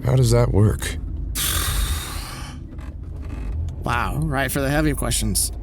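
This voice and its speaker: Guy doing a tired chill scientist, super slow, noisy background. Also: mid-range voice. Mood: wry.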